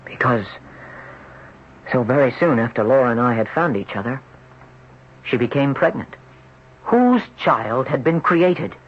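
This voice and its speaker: A man's voice: medium pitch, expressive, unhurried, long pauses, close to an everyday voice. Anguished man confessing, widely swinging radio-drama voice acted. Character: anguished, jealous ex-surfer haunted inside a rich man's body. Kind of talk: old-time radio drama acting. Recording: lo-fi noisy vintage radio drama recording.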